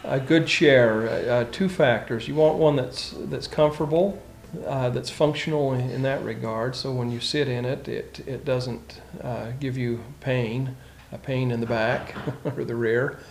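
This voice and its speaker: Slow, halting man in a roomy space. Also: medium pitch, steady tone, vocal fry. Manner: halting, searching for words. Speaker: craftsman furniture maker. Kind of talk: informal explanation of his work. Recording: roomy, slightly distant, faint noise.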